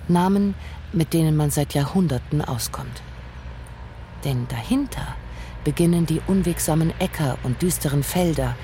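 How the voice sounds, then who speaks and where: A woman's voice: low voice, dark tone, slight background noise. A woman narrating a literary crime radio drama in a brooding, solemn way.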